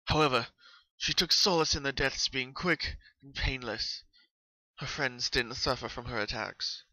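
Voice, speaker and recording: A man's voice: mid-range voice, a little slow, bright, forceful tone, dry recording, rough recording. A man narrating a horror story as a solemn, dramatic reading.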